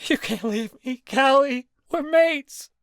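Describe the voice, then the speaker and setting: A man's voice: moderate pace, no echo, studio quality. A man voicing a heartbroken young man who screams in anguish and sobs out desperate pleas. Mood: desperate pleading. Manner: shrill cracking shouts and sobbing pleas.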